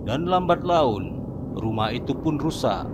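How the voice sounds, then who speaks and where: A man giving scripted, steady narration for a horror cartoon. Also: medium pitch, measured pace, heavy background noise. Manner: steady storytelling. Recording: music under voice.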